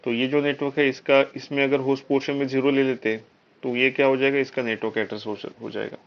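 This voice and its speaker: A man's voice: mid-range voice, level tone, quick pace. A man with an Indian accent giving a live technical lecture as an instructor, explaining step by step with frequent check-in tags and mixing in English technical terms. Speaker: networking instructor. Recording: dull, lo-fi online class audio.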